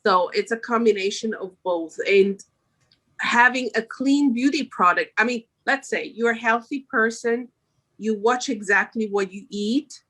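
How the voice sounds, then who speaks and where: A woman's voice: a little high, a little slow, fairly bright tone. Woman being interviewed. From Switzerland. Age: middle-aged. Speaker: podcast guest, makeup artist. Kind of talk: conversational interview answers.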